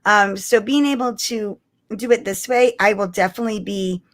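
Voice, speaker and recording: A woman's voice: mid-range voice, normal speed. A woman chatting casually and expressively as co-host of a fan livestream.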